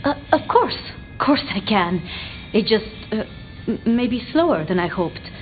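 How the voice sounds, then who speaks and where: A woman voicing a timid, bookish, deferential vampire scholar in video game dialogue, speaking haltingly and apologetically. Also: on the high side, big pitch swings, phone-quality sound. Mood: halting grief. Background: background music.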